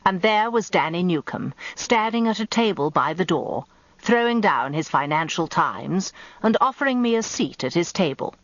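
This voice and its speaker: A woman's voice: lowish voice, animated, normal speed, dry recording, lo-fi sound. An older British woman narrating a radio drama in the first person, confiding and prim in a precise way. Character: proper, tart older English barrister's wife recounting events.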